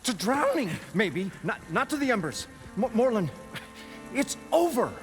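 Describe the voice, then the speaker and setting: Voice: mid-range voice, very animated, a little slow, faint music behind, low-quality recording. A performer playing an exasperated, scolding companion in a video game, speaking in a blunt and indignant manner. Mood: exasperated, fed up. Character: exasperated, scolding, suspicious partner of a reckless inventor.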